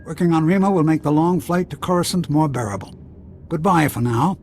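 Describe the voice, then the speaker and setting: An actor playing a courteous, quietly smug man in a video game. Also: a little high, brisk, a little hiss or hum, close to an everyday voice. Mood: smooth satisfaction. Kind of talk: video game cutscene acting.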